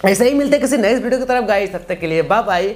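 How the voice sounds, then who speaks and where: A man's voice: sits high, lively intonation, rapid-fire. A man, giving a casual, repetitive step-by-step tutorial. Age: 20s. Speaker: tutorial host. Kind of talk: casual video-editing tutorial.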